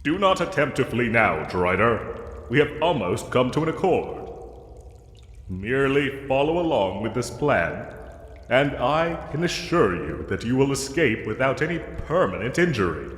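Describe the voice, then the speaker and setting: A man playing a pompous, gallant, condescending yet soft-hearted knight in a fantasy audio roleplay, with formal, archaic, theatrical diction. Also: mid-range voice, big pitch swings, measured pace. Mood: firm, reassuring. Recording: echoey cave-like reverb, music underneath.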